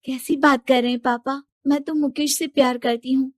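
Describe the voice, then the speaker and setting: Woman acting a high-voiced, headstrong young daughter. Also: steady tone, moderate pace, no room sound, studio quality, lightly acted. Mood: pleading protest. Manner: pleading, insistent. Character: naive, headstrong, lovestruck young rich daughter. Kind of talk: animated moral-story character acting.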